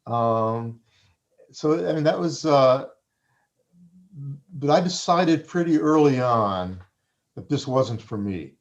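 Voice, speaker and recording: Guy author, first language farsi, thinks out loud, lots of restarts, kinda muffled sound. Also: mid-range voice, expressive. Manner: thoughtful, pauses and restarts often. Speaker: author being interviewed. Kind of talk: reflective conversational interview.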